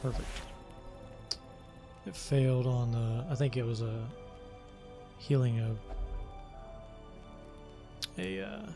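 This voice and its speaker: A man's voice: mid-range voice, animated, slow, gravelly, pauses often. A man hosting a let's play, chatting casually as he plays and thinking aloud with many hesitations. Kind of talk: casual gameplay commentary. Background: game music.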